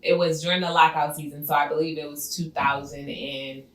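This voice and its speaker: A woman's voice: low-pitched, steady tone, fairly quick, fairly bright tone. A woman in her thirties from Ohio, an entrepreneur guest talking casually in an interview. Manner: conversational, says "you know what I'm saying". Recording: roomy, slightly distant mic.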